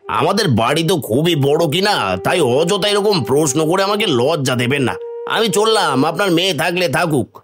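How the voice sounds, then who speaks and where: A man's voice: fairly high pitch, lively intonation, normal speed, over music, natural voice. A man voicing an arrogant, rude son-in-law in a fairy-tale cartoon, haughty and scolding. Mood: sarcastic, cold.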